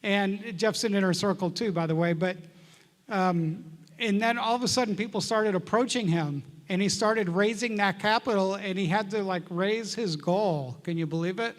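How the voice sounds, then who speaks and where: A man's voice: high-pitched, moderate pace, long reverb tail. A man hosting a live seminar, introducing the next speaker with a chatty story that builds up to applause. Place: large seminar room.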